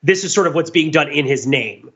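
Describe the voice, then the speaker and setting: Husky, higher-voiced man on slightly dull audio. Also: animated, brisk, a bit bright. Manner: discursive, often checks with "right?". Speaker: podcast co-host. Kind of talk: casual analytical pop-culture discussion.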